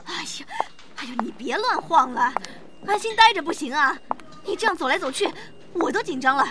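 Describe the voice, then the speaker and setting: A woman acting a bubbly, high-voiced mother. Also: lively intonation, fairly quick, background noise. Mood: reassuring, fussing. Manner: exclaiming, chatty. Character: bubbly, fussing middle-aged mother eager for grandchildren. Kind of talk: modern Chinese radio drama.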